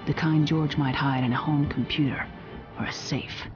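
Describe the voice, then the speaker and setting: A woman playing a sly, defiant young vigilante in a video game, teasing and wry, sometimes breathy. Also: sits low, steady tone, moderate pace, dull audio, over music, natural voice. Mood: earnest, urgent. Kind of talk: video game cutscene acting.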